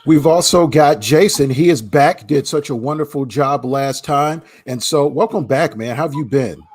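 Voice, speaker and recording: A man's voice: a little high, normal speed, a little mellow, slightly rough. A man co-hosting a live talk show, teasing his guests in a playful way. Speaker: co-host. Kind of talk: live casual chat.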